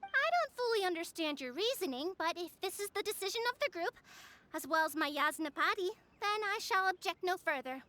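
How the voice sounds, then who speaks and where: A woman's voice: very high-pitched, animated, brisk, pushed and bright, heavily acted. A woman voicing a small, proud fairy elder in a video game, speaking in formal, haughty, impatient phrases. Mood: stern, impatient. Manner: formal, haughty, self-important diction. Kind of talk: video game character acting.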